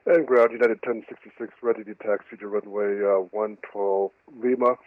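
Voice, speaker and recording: A man's voice: on the low side, steady tone, normal speed, dry recording. A man playing airline pilot in a flight simulation, making requests and readbacks over the radio. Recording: muffled, phone-like dry radio transmission.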